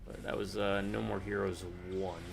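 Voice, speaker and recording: A man's voice: fairly low pitch, level tone, normal speed, vocal fry, noisy background. A man co-hosting a gaming video, chatting casually and thinking aloud in an offhand, hesitant way. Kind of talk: casual co-op gameplay chat.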